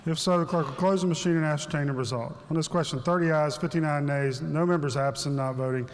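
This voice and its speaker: A man presiding over a legislative chamber, calling votes and recognizing members in brisk, formulaic procedure. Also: fairly high pitch, slight background noise. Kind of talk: formal parliamentary procedure. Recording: long hall reverb, faint ambience.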